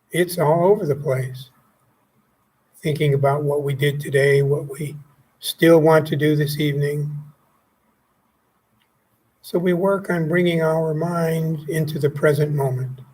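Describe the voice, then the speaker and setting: A man leading a guided meditation as a teacher, speaking calmly and gently in short phrases with pauses. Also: fairly high pitch, slightly rough, poor audio. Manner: calm, gently instructive, pausing between short phrases.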